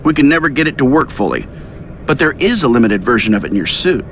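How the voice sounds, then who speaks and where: Wry cocky inventor hero. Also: male voice, mid-range voice, big pitch swings, fast, fairly bright tone, a little hiss or hum. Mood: serious, explanatory. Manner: wry, clipped. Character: cocky inventor hero, brisk commanding adult man. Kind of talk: video game cutscene acting.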